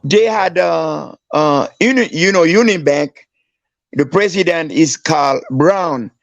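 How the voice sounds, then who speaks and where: A man's voice: slow pace, vocal fry, no echo. A man from Haiti with a Haitian accent, a guest telling stories animatedly, acting out quoted dialogue. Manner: animated storyteller, acts out quoted dialogue with raised pitch. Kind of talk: casual storytelling. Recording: dry, dull lo-fi.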